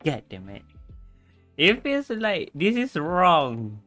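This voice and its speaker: A man's voice: a little high, wildly swooping pitch, slow, bright, forceful tone, faint music behind. A man livestreaming a game, chatting casually with exasperated, slangy, swearing remarks. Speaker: streamer.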